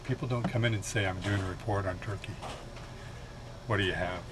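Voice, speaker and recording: Low-voiced librarian man, noisy background. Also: fairly even intonation, brisk. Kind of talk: explanatory chat.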